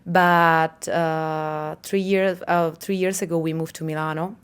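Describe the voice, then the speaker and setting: Smooth-voiced woman designer. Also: low voice, level tone, unhurried. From Czech Republic. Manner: earnest, spiritual, lots of "you know" and restarts. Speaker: fashion designer. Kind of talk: casual interview answers.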